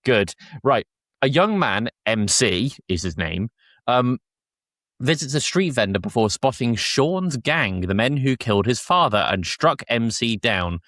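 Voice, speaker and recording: A man's voice: mid-range voice, big pitch swings, a little slow, fairly bright tone, no echo, studio quality. A man hosting a podcast, chatting casually and recapping episodes in a jokey, informal way. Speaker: podcast host.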